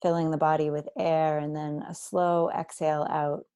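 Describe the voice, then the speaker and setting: A woman guiding a body scan meditation, speaking slowly and deliberately with gentle instructions and long pauses. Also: low voice, flat delivery, smooth, dry recording, studio quality. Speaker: meditation teacher.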